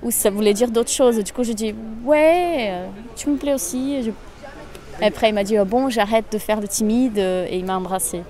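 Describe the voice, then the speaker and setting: A woman's voice: a little high, normal speed, mellow. Brazilian woman speaking hesitant French. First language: Portuguese. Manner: searching for words, hesitant. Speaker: interviewee.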